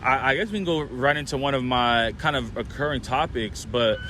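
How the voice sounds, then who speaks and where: Rambling young podcast host, casual. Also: male voice, mid-range voice, brisk, sharp tone, slight background noise. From Orlando, Florida. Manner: loose, rambling, many fillers and restarts. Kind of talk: casual chat.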